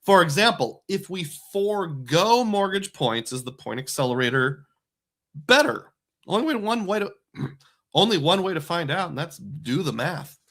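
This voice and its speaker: A man's voice: on the high side, very animated, normal speed, fairly bright tone. A man hosting a live stream who works through credit card points math step by step, explaining each calculation aloud. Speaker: host.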